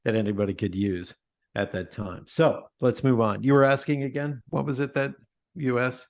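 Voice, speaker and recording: A middle-aged man talking as a guest in a casual interview, emphatic and animated, often restarting his sentences. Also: mid-range voice, normal speed, gravelly. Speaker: podcast guest, author.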